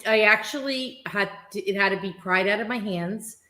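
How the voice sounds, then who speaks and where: A woman's voice: mid-range voice, normal speed, pushed and bright. A woman hosting a live interview, chatty and curious, asking questions over a video call. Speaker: host.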